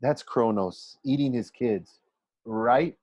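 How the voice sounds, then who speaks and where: An earnest schoolboy in a storybook, hesitant and quick to bristle, voiced by a man reading aloud. He sounds unsure of himself, and the recording is dry and close. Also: mid-range voice, darkish tone, no room sound. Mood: unsure, explaining. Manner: hesitant, questioning.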